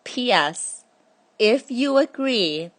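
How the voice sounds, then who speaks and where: A woman acts out a female letter carrier from a children's storybook. She is kindly and earnest, speaking very slowly as she seeks a companion. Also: fairly high pitch, lively intonation, pitched above the performer's own voice. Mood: affectionate, hopeful. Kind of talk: children's read-aloud.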